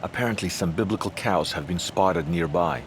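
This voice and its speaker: A man's voice: low voice, sing-song, moderate pace, vocal fry, noisy background. A man giving scripted documentary voice-over narration with wry, joking asides. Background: music.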